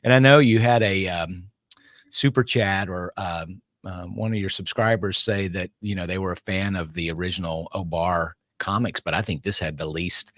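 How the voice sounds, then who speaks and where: A man giving casual film opinions on a panel, often halting as he searches for words. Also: low-pitched, fairly even intonation, a bit bright, no echo, clean studio sound.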